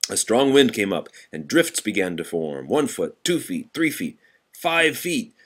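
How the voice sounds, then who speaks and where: Animated man reading a storybook aloud. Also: lowish voice, unhurried. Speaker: reader of a children's picture book. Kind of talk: storybook read-aloud to a child.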